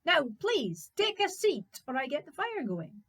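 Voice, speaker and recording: A woman voicing a cheerful, unflappable young man from a folk tale, polite and matter-of-fact, gently bossy. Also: high voice, sing-song, measured pace, voice pushed up, full character voice.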